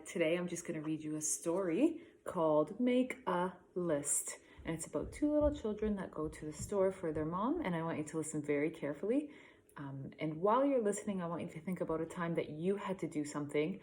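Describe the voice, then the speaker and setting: Lady teacher reading a kids picture book, expressive, slows down on key words, asks questions. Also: low-pitched, a little hiss or hum, low-quality recording. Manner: expressive reading with slow emphasis and guiding questions. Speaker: elementary school teacher. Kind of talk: picture book read-aloud for children.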